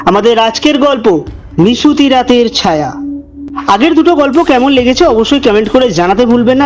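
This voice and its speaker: High-pitched man narrating horror. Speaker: horror story narrator and host. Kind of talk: dramatic scripted horror narration.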